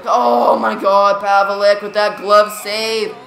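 Excitable gamer woman, stutters n repeats herself, roomy echoey sound. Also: mid-range voice, slow, gravelly, faint music behind. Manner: jumpy, reactive, lots of "come on" and "here we go". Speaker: gaming channel host. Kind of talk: excited live gameplay commentary. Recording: roomy echo.

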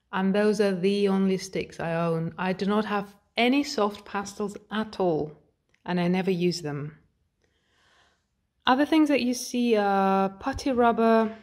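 A woman with a British accent, a portrait artist, calmly explaining her home studio as she films it on a phone. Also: mid-range voice. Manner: calm, explanatory, pointing things out. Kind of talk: guided studio tour narration. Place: home art studio, indoors.

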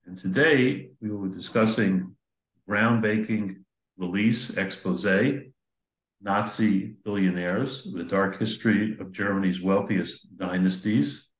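Older male interview host, low pitch, formal intro style, roomy echo on a computer mic. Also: slow. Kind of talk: hosting a video-call interview. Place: office indoors. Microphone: computer mic on video call. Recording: echoey room over video call.

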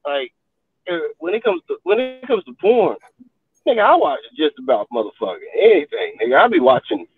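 A brash man guesting on a video call, chatting comedically with animated delivery, heavy slang and swearing, over tinny call audio. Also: fairly high pitch, fairly quick, slightly rough, dry recording. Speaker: talk show guest, comedian. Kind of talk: comedic, explicit casual chat.

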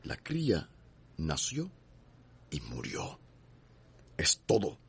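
An actor playing a cold, domineering landowner in a radio drama, imperious and threatening, with a Cuban accent and husky voice. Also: male voice, a bass voice, huge pitch swings, drawn-out words, a little hiss or hum, full character voice. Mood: cold, commanding. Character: cold, menacing, domineering male slaveholding landowner.

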